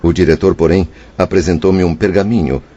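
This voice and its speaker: A man's voice: deep voice, steady tone, brisk, mellow. An actor playing an anguished, repentant male spirit in a radio drama, plaintive and formal in his wording. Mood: moved, hopeful. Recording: muffled lo-fi audio with music. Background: background music.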